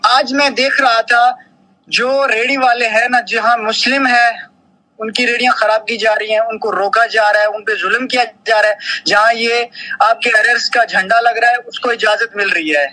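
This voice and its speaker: A man speaks on a phone call in a high, very forceful and sharp voice. He is confrontational and scolding, throwing out challenges and repeating himself. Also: fairly even intonation, normal speed. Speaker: phone caller.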